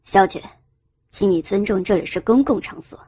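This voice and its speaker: A cold young businessman from a romance audiobook, acted by a man. The voice is very husky and dark in tone, with a stern, restrained manner, recorded dry. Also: high voice, normal speed, dry recording.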